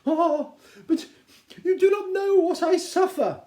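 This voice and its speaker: A man voicing a fussy, excitable middle-aged wife, gushing and plaintive, in a theatrical reading of a classic novel. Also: very high-pitched, slow pace, voice pushed up. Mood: wounded, plaintive. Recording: roomy, echoing indoor sound.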